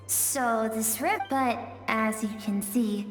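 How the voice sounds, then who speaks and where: An enigmatic female guide from a video game inner world. The voice is fairly high, wistful and knowing, washed in echo and long reverb. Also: steady tone, slow pace. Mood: explaining. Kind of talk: video game character acting. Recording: echoey long reverb, music behind.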